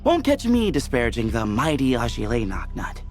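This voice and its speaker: An actor playing a young temple leader in a video game, playful and teasing with easy laughter. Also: male voice, medium pitch, huge pitch swings, normal speed, faint music behind.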